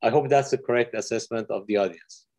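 A middle-aged man from Iran lectures on physics over a video call from his office. His pitch sits in the middle range, and he explains things in a friendly way with plenty of fillers. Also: fast, mellow. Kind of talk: online lecture. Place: office with windows.

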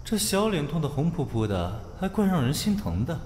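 A man's voice: fairly high pitch, very animated, moderate pace, a little mellow, slight background noise. Radio drama role: brash young male student, man acting, swinging intonation, long reverb with music behind. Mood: teasing. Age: young adult. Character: brash, foul-mouthed, secretly fond young male student.

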